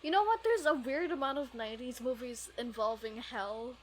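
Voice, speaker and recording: A woman's voice: a little high, moderate pace, slight background noise. A woman chatting casually as a podcast co-host, with a playful, wry manner. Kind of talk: casual fan discussion.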